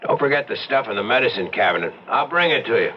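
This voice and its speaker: A man's voice: medium pitch, animated, fast talker, lightly acted. An actor playing a skeptical, plainspoken male investigator in an old radio mystery, casual and persistently questioning. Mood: puzzled, practical. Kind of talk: old-time radio mystery drama acting. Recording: dull, noisy old radio drama recording.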